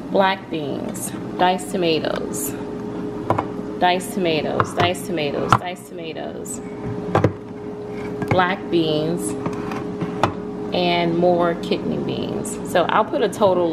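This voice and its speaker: A woman in her thirties chatting informally as she cooks for a home vlog, thinking aloud. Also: fairly low pitch. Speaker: vlog host, home cook. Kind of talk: casual cooking vlog chat. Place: home kitchen, indoors. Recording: very noisy kitchen camera audio.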